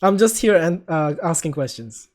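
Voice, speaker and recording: A young man with an Australian accent hosting a casual chat, asking calm, probing questions and laughing often. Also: on the high side, normal speed. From Philippines and Japan heritage, living in Australia. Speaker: host.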